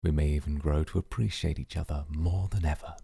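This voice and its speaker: A man playing a suave, calculating mafia boss in a flirty ASMR roleplay, with teasing, deliberate phrasing. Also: steady tone, warm tone, no echo, exaggerated voice. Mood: intrigued, coy. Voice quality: very deep, gravelly, husky.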